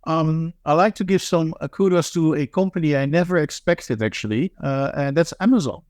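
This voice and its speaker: Man, smooth hesitant speech. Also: fairly high pitch, darkish tone, no room sound, clean studio sound. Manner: halting, many fillers and restarts. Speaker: guest, managing director of an anti-scam nonprofit. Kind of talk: interview answers, thoughtful and hesitant.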